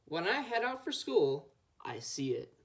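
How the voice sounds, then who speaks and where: A young female Scout reading a children's picture book aloud, with expressive storytelling. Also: lowish voice, measured pace. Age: child or teenager. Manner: expressive storytelling with acted dialogue. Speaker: Scout volunteer reader.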